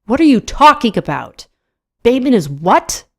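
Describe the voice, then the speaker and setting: A woman's voice: fairly high pitch, very animated, moderate pace, fairly bright tone, voice pushed up, exaggerated voice. A woman playing a mocking, dismissive club-going man in an audiobook, drawling and emphatic. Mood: scornful amusement.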